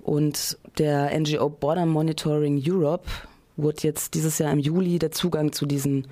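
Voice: medium pitch, level tone, normal speed, dark tone. A radio host of unclear sex presenting a report in an informal, somewhat hesitant way, partly reading and partly explaining freely.

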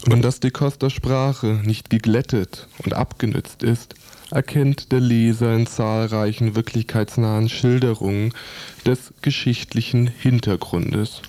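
A man's voice: medium pitch, fairly even intonation, unhurried, dark tone. A man reading a scripted book review for radio.

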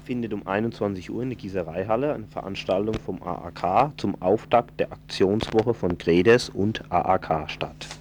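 A man's voice: fairly low pitch, normal speed, few pauses, a little mellow, a little hiss or hum. A man on community radio reading event listings in a loose, casual way, stumbling and correcting himself as he goes. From southwest Germany. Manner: informal, stumbling, self-correcting. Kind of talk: casual live radio announcements.

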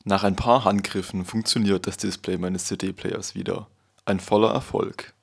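A man narrating a short report as a scripted voice-over in a calm, measured way. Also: low-pitched, vocal fry, husky.

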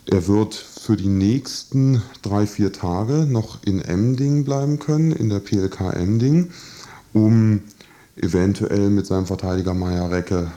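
Low, mellow man, halting and deliberate. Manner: halting and deliberate, searching for exact wording. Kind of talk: spontaneous spoken commentary on a court case.

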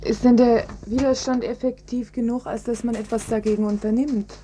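A woman's voice: on the high side, steady tone, normal speed, mellow. A woman working as a radio interviewer, delivering prepared questions and commentary. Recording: faintly noisy old radio recording.

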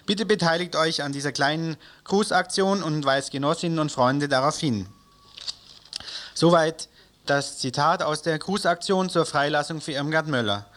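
A man's voice: on the high side, normal speed, fairly bright tone. A man presenting community radio news and announcements from a studio, reading from a script with the odd stumble.

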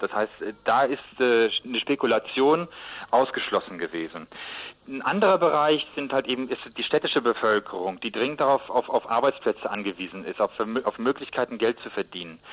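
A man's voice: medium pitch, a bit bright, poor audio. A man with a mid-range voice speaks as an interviewed expert. He is hesitant and circles back over his words, repeating small ones while he works out each explanation. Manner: halting, repeats words while searching for phrasing. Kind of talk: spontaneous explanatory radio interview.

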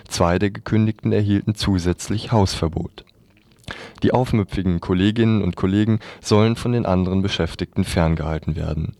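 A man's voice: low-pitched, a little mellow, no room sound. A man reading a scripted news report on the radio. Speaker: news reader.

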